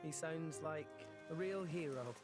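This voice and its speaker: A man's voice: a little high, unhurried, close to an everyday voice. An actor playing an earnest, good-natured teenage boy hero in a video game, with a hesitant, sincere delivery. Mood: bashful. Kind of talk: video game cutscene voice acting. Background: orchestral music.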